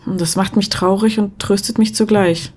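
An actress playing a lonely young Soviet orchestra musician, speaking softly and reflectively in an intimate radio play. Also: female voice, medium pitch, steady tone, normal speed, breathy edge, natural voice. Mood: melancholy.

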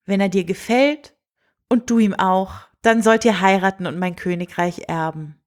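A woman voicing a kindly, reassuring king and father in a storybook, calm, friendly and unhurried. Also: medium pitch, natural voice. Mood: encouraging. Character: kindly, reassuring old-fashioned fairy-tale king and father.

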